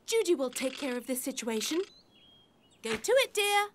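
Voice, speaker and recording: A woman's voice: sits high, big pitch swings, moderate pace, noisy background. An actress playing a prim, bookish, spirited teenage girl. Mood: bossy.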